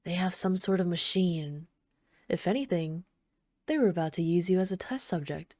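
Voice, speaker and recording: A woman playing an obsessive, sweetly menacing supervillain in an ASMR roleplay, soft-spoken, doting, possessive and taunting. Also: lowish voice, moderate pace, dry recording, clean studio sound, natural voice. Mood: smug, scornful. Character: obsessive yandere female supervillain, sweetly menacing, adoring her captive.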